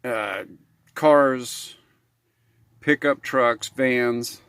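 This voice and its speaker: A man's voice: medium pitch, very slow, fairly bright tone, clear, resonant tone, dry recording. An American man vlogging in a casual, unscripted way, talking in a folksy, rambling manner with homespun slang. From United States. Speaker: vlogger on RV living and repairs. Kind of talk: casual unscripted vlog talk.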